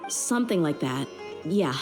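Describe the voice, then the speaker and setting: A woman's voice: sits low, lively intonation, measured pace, music in the background. An actress playing a young female mercenary bodyguard in a game, speaking frankly and directly. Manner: frank, direct, plain-spoken. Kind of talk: game voice acting.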